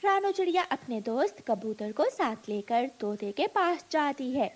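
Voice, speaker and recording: High-pitched woman narrating a children's story. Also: animated, measured pace.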